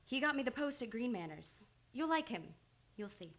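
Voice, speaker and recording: A woman's voice: on the high side, very animated, normal speed, a bit bright. A woman playing an earnest, devoted young psychoanalyst in a live old-time radio play. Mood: eager, affectionate.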